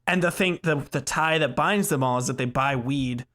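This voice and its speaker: A man's voice: mid-range voice, normal speed, a bit bright. A man hosting a casual gaming podcast, chatting in a jokey, loose way. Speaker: podcast host. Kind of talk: casual podcast chat about video games.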